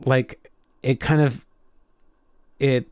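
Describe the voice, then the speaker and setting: A man co-hosting a podcast, telling a personal anecdote in casual, halting speech with repeated words and an enthusiastic manner. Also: medium pitch, steady tone, darkish tone, clean tone, no room sound, clean studio sound. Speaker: podcast co-host.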